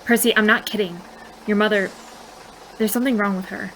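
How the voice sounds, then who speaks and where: A woman's voice: medium pitch, steady tone, a little fast, fairly bright tone, slight background noise, lightly acted, low-quality recording. An actress playing an anxious, earnest teenage girl in an audio drama, frank and protective of her friend. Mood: insistent.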